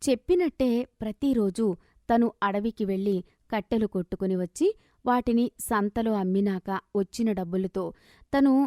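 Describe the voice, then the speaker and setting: A woman's voice: medium pitch, mellow, no room sound, clean studio sound. A woman narrating a scripted moral story as a storyteller.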